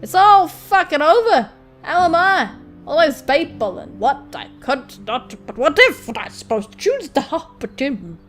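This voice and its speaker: An anxious young woman full of self-blame, acted by a woman reading a visual novel, her voice fairly high over music. She frets and breaks off mid-sentence. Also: big pitch swings, measured pace. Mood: distraught. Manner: fretful, broken-off sentences.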